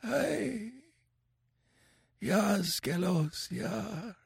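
A man voicing a dying, mangled male sorcerer who raves for vengeance in a racking, inhuman croak, gasping and drooling. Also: high-pitched, lively intonation, drawn-out words, dry recording, studio quality, voice pushed up, full character voice. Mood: fading. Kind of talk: audiobook character voice.

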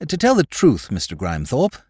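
An affable upper-class English gentleman about town , played by a male narrator. He rambles courteously and breezily, his pitch leaping about in a lively way. The sound is close and dry, like a storybook reading. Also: brisk, slightly rough, no echo. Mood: affable, rambling.

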